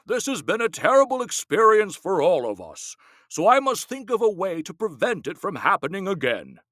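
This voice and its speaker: A gentle, solemn rabbi, as a man reads him, proclaims his words in a bright, clear voice. The reading is dry and close to the mic. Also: sits high, sing-song, normal speed, dry recording, voice pushed up.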